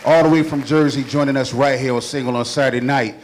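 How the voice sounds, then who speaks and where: A man's voice: mid-range voice, fairly even intonation, fast talker, a little hiss or hum. A middle-aged man hosting an event, hyping up an act into a handheld microphone in an informal way. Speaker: event host. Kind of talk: hype introduction to an audience. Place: indoor restaurant or bar with audience.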